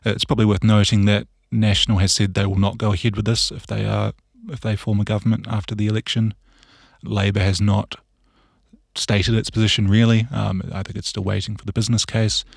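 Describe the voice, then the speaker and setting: A man's voice: on the low side, little pitch movement, fairly quick, vocal fry, no room sound, clean studio sound. A man giving conversational answers as a journalist in an interview.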